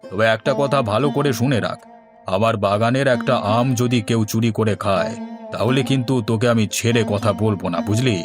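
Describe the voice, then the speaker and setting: Casting: male actor as domineering, distrustful cartoon village chief, medium pitch, soft music bed. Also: a little fast, close to an everyday voice. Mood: bossy, warning. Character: bossy, suspicious village headman guarding his mangoes. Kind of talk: cartoon dialogue. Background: instrumental music.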